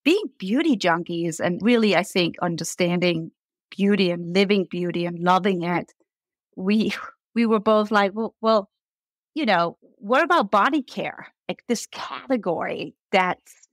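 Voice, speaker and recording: A woman's voice: mid-range voice, expressive, measured pace, no room sound. Woman with a Danish accent, a founder guest, earnest and sincere, dry close-mic sound. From Denmark. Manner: warm, earnest, repeats words when starting a thought. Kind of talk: casual interview.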